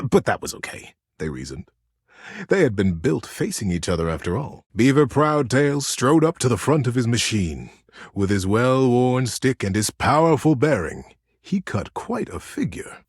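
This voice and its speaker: A man playing a gentle, soothing teddy bear who narrates bedtime stories expressively in a game. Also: mid-range voice, sing-song, normal speed, breathy edge, no room sound, clean studio sound. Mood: storytelling.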